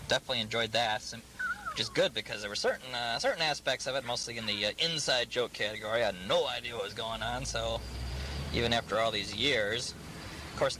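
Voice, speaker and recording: A man giving casual, rambling commentary in a halting, self-deprecating way. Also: mid-range voice, background noise.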